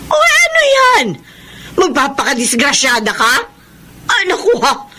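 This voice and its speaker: A woman's voice: sits high, wildly swooping pitch, unhurried, sharp tone, full character voice. An actress playing a middle-aged, nagging mother who scolds in an exasperated way, in a Filipino radio drama. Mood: exasperated scolding.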